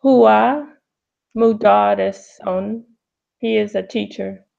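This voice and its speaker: Woman language learner, gravelly creak, very halting delivery as she sounds out words; lo-fi call audio. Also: medium pitch, fairly even intonation, darkish tone. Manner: hesitant, tentative, sounding out words. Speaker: language student. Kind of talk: halting read-aloud practice and translation in a lesson. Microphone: online call. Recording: dull, lo-fi online call audio.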